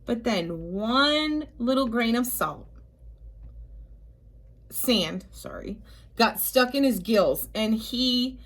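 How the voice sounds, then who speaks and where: Female storybook reader for young children: slightly high voice, very leisurely delivery, stretches key words, talks to the listeners. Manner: expressive, drawn-out emphasis with chatty asides to young listeners.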